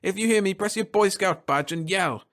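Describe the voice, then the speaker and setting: A man voicing a gruff, cursing spaceship captain who barks orders in a raised, higher voice. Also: moderate pace, a bit bright. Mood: barking orders. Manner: barking, swearing orders. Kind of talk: dramatic story reading.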